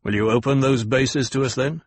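A man voicing a calm, shrewd noble duke in an audiobook, with measured, courteous authority. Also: mid-range voice, gravelly, no echo. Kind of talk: audiobook character acting.

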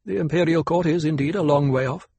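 A man's voice: on the high side, quick pace, darkish tone, husky, no room sound, voice pushed up. A man voicing a proud, guarded planetologist in an audiobook, speaking in a stiff, curt, formal and emphatic way. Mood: guarded, sour. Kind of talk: audiobook character acting.

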